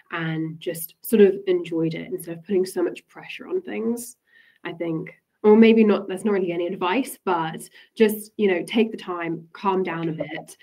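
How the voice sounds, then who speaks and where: A woman's voice: lowish voice, dark tone, low-quality recording. A woman, a guest on a careers webinar, giving thoughtful advice and often hedging with "I think". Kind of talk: reflective advice.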